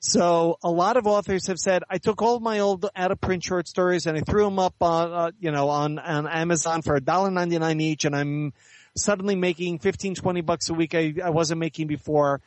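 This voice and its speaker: A man giving measured, articulate, explanatory answers as an author and editor being interviewed in conversation. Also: a little high, fairly even intonation, no room sound.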